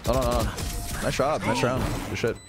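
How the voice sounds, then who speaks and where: A man's voice: mid-range voice, moderate pace, music in the background. A man in his thirties streaming a shooter game, joking with teammates and making terse callouts. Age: 30s. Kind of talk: casual gameplay commentary. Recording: gunfire and game noise behind. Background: shooter game sounds.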